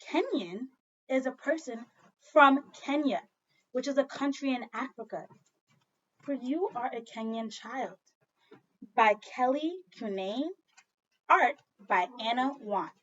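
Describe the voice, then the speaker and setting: A woman's voice: fairly high pitch, lo-fi sound. A woman teaching second grade, reading a story aloud expressively and talking directly to young children with questions and repetition. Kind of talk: children's read-aloud lesson.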